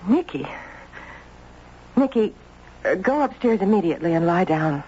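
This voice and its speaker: A woman's voice: mid-range voice, expressive, moderate pace, a little mellow, breathy edge. An actress playing a genteel, guarded middle-aged mother in a radio drama, composed but firm. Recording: dull, hissy old radio recording.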